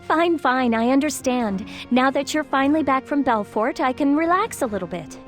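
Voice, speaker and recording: A woman's voice: high-pitched, expressive, normal speed, soft background music. A woman playing a young princess commander in a video game, gracious, loyal and willful, polite and earnest. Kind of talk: video game cutscene voice acting.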